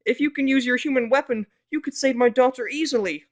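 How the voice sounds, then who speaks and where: Pony businessman and distraught dad played by a male reader, strained high pitch, pleading, halting, fan audio drama. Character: proud business stallion, a desperate, pleading father. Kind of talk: fan fiction audio drama character acting.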